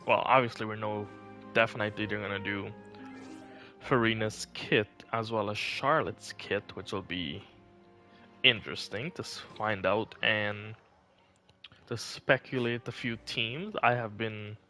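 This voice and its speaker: Bright-voiced man streaming a game. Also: fairly low pitch, steady tone, measured pace, soft background music. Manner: rambling, thinking aloud. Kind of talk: casual live stream commentary.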